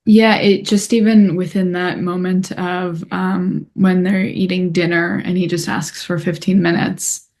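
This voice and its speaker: Woman, festival Q&A host, lower voice, tentative, conversational. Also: level tone, measured pace, darkish tone. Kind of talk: conversational follow-up remark.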